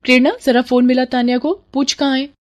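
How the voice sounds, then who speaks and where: A woman plays an impatient young college girl in a narrated story. Her voice is raised in pitch, brisk and urgent as she questions and hurries her friends. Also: little pitch movement, lightly acted.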